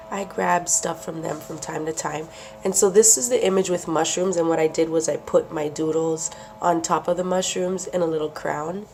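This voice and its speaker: A woman's voice: fairly low pitch, creaky, darkish tone, slight background noise. A young woman who is losing her voice and sounds sick and sniffly, chatting casually and laughing often as she shows off her craft work. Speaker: craft vlogger. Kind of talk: casual show-and-tell.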